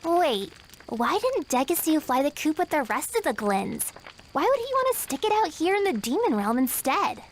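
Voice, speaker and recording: An energetic anime game girl, brash and inquisitive. The pitch is very high and lively, over background ambience. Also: normal speed, a little hiss or hum. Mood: curious, teasing. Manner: brash, slangy, direct. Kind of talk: anime video game voice acting.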